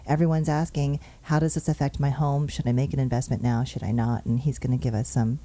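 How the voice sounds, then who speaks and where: A woman hosting a talk show, briskly and cheerfully running through market numbers before interviewing a guest. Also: extremely low pitch, fairly even intonation, dark tone. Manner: brisk, upbeat, reels off numbers. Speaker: show host and real estate agent. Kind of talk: chatty show monologue on market figures.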